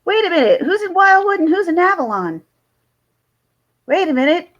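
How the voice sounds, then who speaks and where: A woman from South Jersey with a Philadelphia accent, hosting a casual livestream chat and talking in halting, rambling restarts. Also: very high-pitched, sing-song, fairly bright tone, highs cut off. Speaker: livestream host.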